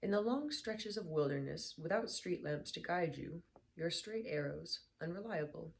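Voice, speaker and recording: A young woman speaking in a very low, gravelly voice that has gone hoarse and worn. She sounds tired and talks in a soft, unhurried way as she chats and reads aloud. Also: expressive. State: hoarse, slightly lost voice. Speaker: book reader vlogger. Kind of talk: casual chat then reading aloud.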